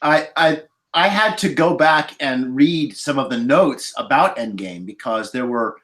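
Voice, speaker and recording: A man in casual roundtable chat as a podcast panelist, agreeable and animated like a keen fan. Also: medium pitch, unhurried.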